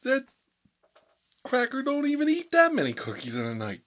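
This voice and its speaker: A man's voice: very high-pitched, wildly swooping pitch, normal speed, fairly bright tone, full character voice. A man playing a hot-headed, sentimental mob boss in an improvised comic monologue, talking in a rambling, repetitive, colloquial way. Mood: outraged ranting.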